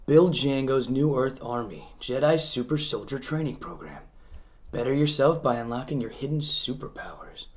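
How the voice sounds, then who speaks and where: A man's voice: medium pitch, lively intonation, normal speed, lightly acted. A man in his twenties playing a bossy, mocking young guy in an amateur comedy sketch, recorded in a home dining room. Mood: curious, excited. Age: 20s.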